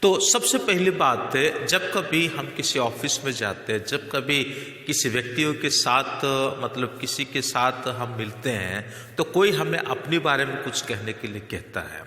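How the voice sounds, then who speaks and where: A man with an Indian accent teaching spoken English slowly and deliberately, with frequent fillers. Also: mid-range voice, animated, pushed and bright. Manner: slow, deliberate explaining with many fillers. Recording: echoey room with long reverb.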